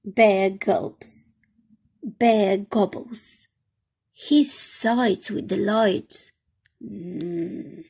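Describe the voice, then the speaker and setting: A woman reading a picture book aloud to children with dramatic, deliberate phrasing. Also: medium pitch, creaky, dark tone, husky. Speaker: storytime reader.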